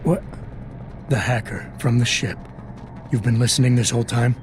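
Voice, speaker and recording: A man's voice: mid-range voice, normal speed, noisy background, close to an everyday voice. An actor playing a stoic, determined agent in a video game, a man speaking in terse, commanding lines. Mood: grim, determined. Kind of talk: video game dramatic acting. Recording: echoey room with loud music.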